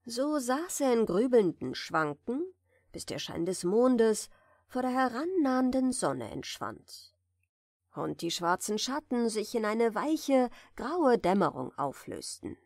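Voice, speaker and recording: Gentle, slow-reading woman storyteller. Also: on the high side, big pitch swings, no echo, clean studio sound. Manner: gentle, soothing, drifting toward a whisper. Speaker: audiobook reader, storyteller. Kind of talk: soft bedtime fairy-tale narration.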